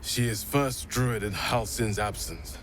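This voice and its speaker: A man's voice: on the low side, unhurried, a little hiss or hum, natural voice. An actor playing a sober, dutiful man in a fantasy video game. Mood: grave. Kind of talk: video game cutscene acting.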